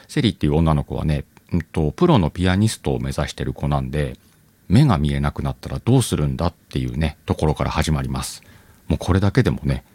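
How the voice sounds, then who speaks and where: A man's voice: extremely low pitch, a little mellow, no room sound. A man hosting a channel, chatting informally and addressing his listeners as he makes a casual announcement. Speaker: channel host.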